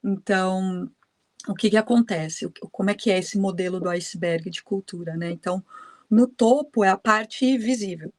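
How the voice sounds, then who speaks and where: A woman's voice: medium pitch, moderate pace, a little mellow, no room sound. A woman, a guest in a conversation, patiently explaining ideas while thinking aloud.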